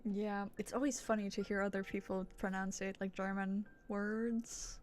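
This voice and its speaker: A woman's voice: medium pitch, little pitch movement, moderate pace, a little mellow, a little hiss or hum. A woman streamer giving casual live gameplay commentary in a playful, rambling way. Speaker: game streamer. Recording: game music behind the voice.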